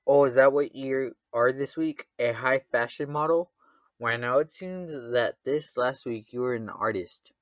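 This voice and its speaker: A man playing a gruff, suspicious father in a radio drama, with a stern, confrontational manner. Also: mid-range voice, measured pace, dry recording, close to an everyday voice. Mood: suspicious, sarcastic.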